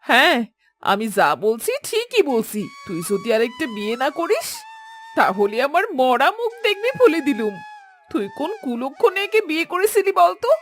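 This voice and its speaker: A woman's voice: high voice, sing-song, normal speed, faint music behind. Woman acting a nagging, wailing village mother-in-law. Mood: nagging, threatening. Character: scolding, melodramatic older village mother-in-law. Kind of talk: Bengali cartoon voice acting.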